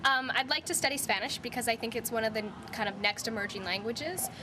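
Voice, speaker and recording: A young woman student answering an interview in a quick, earnest way. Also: a little high, noisy background.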